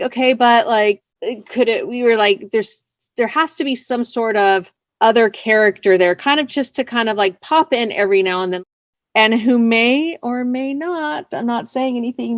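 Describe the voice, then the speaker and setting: A woman's voice: fairly high pitch, moderate pace, no room sound. A woman being interviewed as a writer, chatting casually in an informal, playful, slightly coy way. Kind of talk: casual chat.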